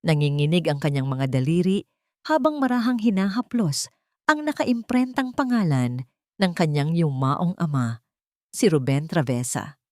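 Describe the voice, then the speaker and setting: A woman's voice: sits low, very animated, moderate pace, dark tone, no echo, clean studio sound. A woman reading scripted audio drama narration as a storyteller, in an earnest, emotive manner.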